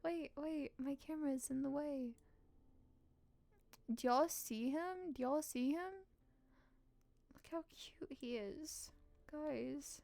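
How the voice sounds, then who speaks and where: A woman's voice: sits high, slow, vocal fry, long pauses, dark tone. A woman streamer reading a visual novel aloud and reacting casually as she goes.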